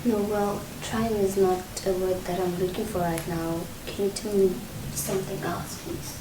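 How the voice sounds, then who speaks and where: An actress playing an anxious, subdued daughter in stage drama dialogue. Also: female voice, medium pitch, fairly even intonation, moderate pace, distant mic, close to an everyday voice. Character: anxious, subdued daughter awaiting news of father. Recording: echoey room with faint noise.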